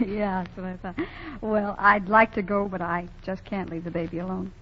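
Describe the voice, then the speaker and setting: Casting: woman as a wry young shopgirl, mid-pitched, brisk and teasing, muffled old broadcast. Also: level tone, close to an everyday voice. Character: plucky, modest young working woman. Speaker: lead actress in a radio play. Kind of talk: 1940s radio acting. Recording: muffled 1940 radio broadcast.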